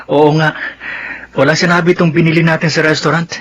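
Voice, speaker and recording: Casting: devoted younger brother, higher-pitched, forceful bright tone, eager, radio drama. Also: male voice, flat delivery, normal speed. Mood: eager, coaxing. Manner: eager, coaxing. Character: caring, upbeat younger brother, devoted son.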